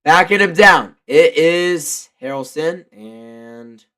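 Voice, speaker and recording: A man with a stuffy, congested voice doing live basketball play-by-play with casual, excitable reactions. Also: a little high, slow. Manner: excitable, shouts on big plays. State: congested from a cold. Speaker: live play-by-play commentator and streamer.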